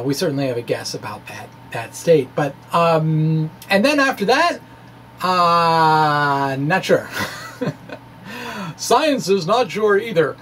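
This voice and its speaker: A man giving a dramatic reading of a science essay with ad-libbed asides, in a halting, ruminative manner. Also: sits high, a little hiss or hum. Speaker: science blogger and presenter.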